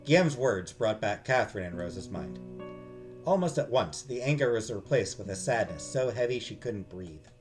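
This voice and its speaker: A man's voice: fairly low pitch, lively intonation, moderate pace. A man narrating a visual novel aloud in a dramatic storytelling style as a game streamer. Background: music.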